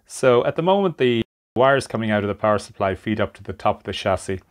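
A man giving unscripted hands-on narration at a workbench, explaining things step by step in a measured way. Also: lowish voice, animated. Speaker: hobbyist presenter of a radio restoration. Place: indoor workshop at a workbench.

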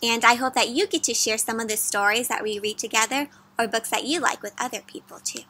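A young adult woman reading a picture book aloud to children in an animated, interactive way, addressing her listeners directly. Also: on the high side, fairly quick. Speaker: children's story reader, host. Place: outdoors under trees.

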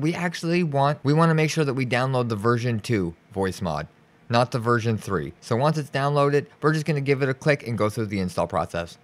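Guy doing a chill step by step computer how-to, medium pitch, reassuring. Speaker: tutorial host. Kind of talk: step-by-step software tutorial narration. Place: indoors, voice-over for a screen recording.

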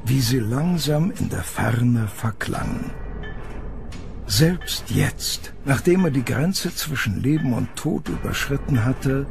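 A man's voice: mid-range voice, creaky. A man narrating a scripted horror story as the narrator of an audio drama.

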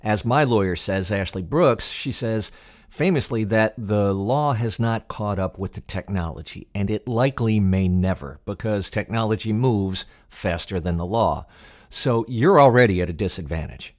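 Guy in his 40s interviewing someone, voice a bit low, chatty. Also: moderate pace. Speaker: interviewer, host. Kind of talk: conversational interview. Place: home office. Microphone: boom-arm microphone with headphones.

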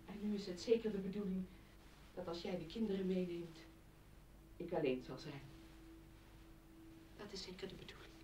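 A woman's voice: lowish voice, dark tone, slight background noise, natural voice. Actress as anguished woman, distant roomy sound. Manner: emotional, accusing. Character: anguished, accusing woman defying a killer. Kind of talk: radio play acting.

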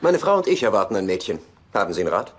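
A man's voice: fairly low pitch, sing-song, racing, very noisy. A male actor dubbing a naive, good-natured, nerdy sitcom husband with an eager, guileless manner. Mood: casual. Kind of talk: dubbed sitcom dialogue.

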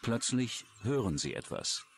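A man's voice: medium pitch, very animated, unhurried, very noisy. A man reading scripted true-crime narration as a documentary narrator.

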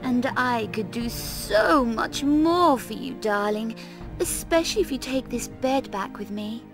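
Sultry, spoilt, higher-pitched temptress. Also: female voice, animated, unhurried, over music. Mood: seductive. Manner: seductive, coy, taunting. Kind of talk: fantasy video game voice acting.

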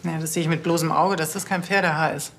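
An actress playing a confident, matter-of-fact forensic pathologist, dry and precise. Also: female voice, low-pitched, steady tone, quick pace, a little hiss or hum.

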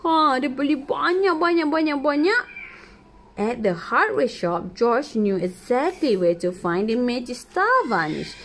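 A woman's voice: high-pitched, expressive, measured pace. A Malaysian woman, a native Malay speaker, reads a picture book to a young child, explaining it simply with repetition. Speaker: parent reading to a young child. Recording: noisy home phone recording.

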